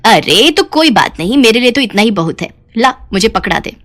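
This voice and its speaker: A woman's voice: on the high side, very animated, moderate pace, fairly bright tone. A woman voicing a greedy, pushy, scheming older mother-in-law in a storybook cartoon, with a grumbling, wheedling and self-satisfied manner.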